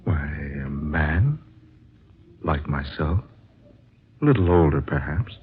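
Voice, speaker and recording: Low-voiced, earnest, brooding writer. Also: male voice, expressive, slow pace, slightly rough, soft background music, exaggerated voice. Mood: searching, troubled. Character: earnest, troubled male fantasy writer searching for truth. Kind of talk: 1940s radio drama acting and narration. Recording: muffled, noisy vintage radio recording.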